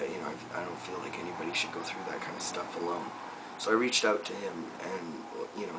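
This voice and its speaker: A young man recording a personal video diary update, talking reflectively and softly with many pauses and fillers. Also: low-pitched. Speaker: vlogger. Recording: distant mic with noticeable room noise.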